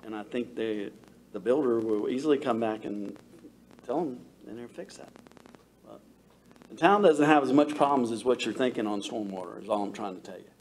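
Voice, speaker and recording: A man's voice: medium pitch, normal speed. A man, a local builder, arguing his point at a town meeting in a folksy, emphatic way with many restarts. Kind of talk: public comment at a meeting. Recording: faint room noise, meeting hall.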